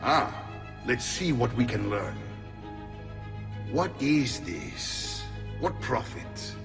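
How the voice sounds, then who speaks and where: An actor voicing a boisterous middle-aged Italian mercenary uncle in a video game, theatrical, with an Italian accent. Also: male voice, mid-range voice, big pitch swings, extremely slow, vocal fry, full character voice. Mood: pondering, gruff. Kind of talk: video game cutscene acting. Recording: long echoing hall, music behind.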